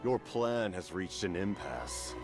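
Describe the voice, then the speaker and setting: A grave armored commander, deep voice, very slow, swinging pitch, solemn game villain. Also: male voice, animated, over music, exaggerated voice. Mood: grave menace. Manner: slow, measured, solemn delivery. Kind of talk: video game voice acting.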